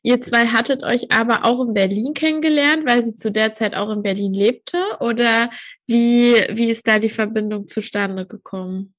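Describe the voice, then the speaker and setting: A woman's voice: fairly high pitch, steady tone, moderate pace, no room sound. A woman co-hosting an interview, putting in brief clarifying questions. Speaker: podcast co-host.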